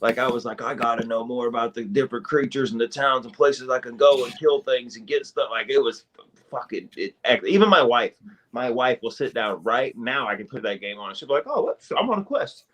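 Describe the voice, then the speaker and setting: Male podcaster, mid-pitch, excitable and profane. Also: brisk. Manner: animated, swears freely. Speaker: podcast co-host. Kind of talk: casual chat.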